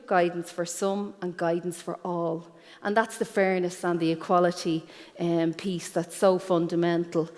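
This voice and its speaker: An Irish woman giving an explanatory conference presentation as a guest speaker, pausing often with ums. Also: mid-range voice, darkish tone. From Ireland. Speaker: guest speaker, careers guidance professional. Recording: echoey hall with long reverb.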